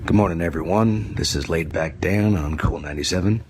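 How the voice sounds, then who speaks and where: A laid-back late-night jazz DJ with a very deep, slow, silky croon, as a man puts him on. The recording has steady outdoor noise behind it. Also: close to an everyday voice. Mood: smooth.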